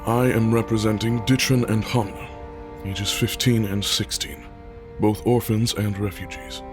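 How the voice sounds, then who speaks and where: A man's voice: lowish voice, fairly even intonation, measured pace, over music, lightly acted. A man playing a soldier who formally speaks on behalf of two orphans. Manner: formal, reporting.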